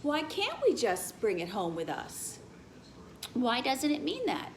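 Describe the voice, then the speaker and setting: An eager, naive little girl from a storybook, acted out by a woman reading aloud, pleads insistently. The voice is very high and swoops about with excitable energy. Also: a little slow, exaggerated voice. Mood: puzzled, insistent. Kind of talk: children's storybook read-aloud.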